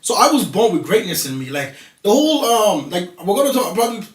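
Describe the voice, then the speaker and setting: A man's voice: high voice, big pitch swings, fast talker. A man from Nigeria, talking in a casual group discussion as a talk show guest. He is assertive and talks over others to make his point. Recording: roomy studio, voice heard from a distance.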